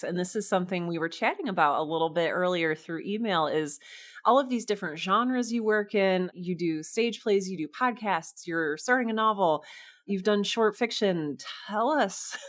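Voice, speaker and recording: Female podcast host, medium pitch, enthusiastic and chatty interviewer. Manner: effusive, chatty.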